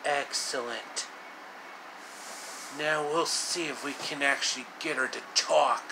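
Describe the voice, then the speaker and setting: Man playing an imperious, slow-talking Snow Queen. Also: medium pitch, steady tone, creaky, background noise. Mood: gloating. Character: imperious, gloating villainous Snow Queen, female. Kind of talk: storybook villain voice.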